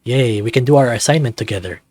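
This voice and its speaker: A young dragon student read by a man in a visual novel. He is boyish, friendly and eager, in an easygoing register with a middling pitch. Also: fast talker, a little mellow, dry recording, close to an everyday voice. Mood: eager, casual.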